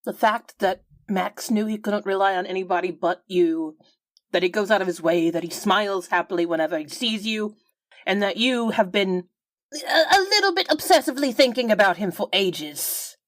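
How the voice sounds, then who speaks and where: A woman's voice: mid-range voice, animated, moderate pace, no echo, natural voice. A woman playing a teasing, smugly certain friend with a matter-of-fact, knowing manner in a tabletop game.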